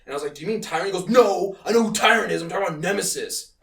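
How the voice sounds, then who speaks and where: A young man hosting a casual film-review chat, talking in an excitable, profane, ranting way. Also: high-pitched, rapid-fire, vocal fry. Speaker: podcast host. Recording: roomy, distant from the mic.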